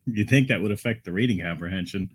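A man's voice: fairly low pitch, fast talker, slightly rough, no room sound. A man co-hosting a casual podcast, teasing the guest and other hosts with jokes. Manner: teasing, joking. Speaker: podcast co-host.

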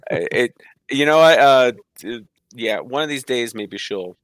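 A man being interviewed as an author on a podcast, hesitant and filling pauses with ums and uhs while laughing often. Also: medium pitch, expressive, no room sound. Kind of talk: casual podcast chat.

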